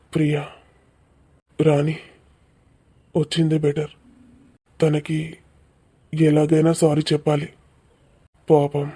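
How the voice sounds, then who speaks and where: A woman's voice: low-pitched, dark tone, husky. A woman voicing a mild, earnest young village man in a cartoon comedy, speaking plainly. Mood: regretful.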